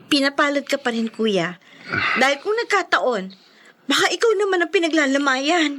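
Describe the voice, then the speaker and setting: A woman's voice: sits high, sing-song, moderate pace, a bit bright, a little hiss or hum. A woman acting as a spiteful younger sister taunting her brother in a Filipino radio drama.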